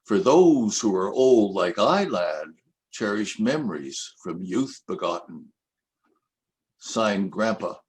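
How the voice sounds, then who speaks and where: Older man reciting his own poems slowly. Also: on the low side. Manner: measured, expressive recitation. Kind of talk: poetry reading at an event.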